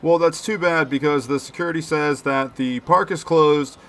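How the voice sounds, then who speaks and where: A man's voice: fairly high pitch, fairly even intonation, normal speed, a bit bright. A man in his thirties from the Philadelphia area, recapping a movie in a chatty, jokey way full of puns. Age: 30s. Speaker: movie commentary host. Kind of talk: casual movie recap commentary.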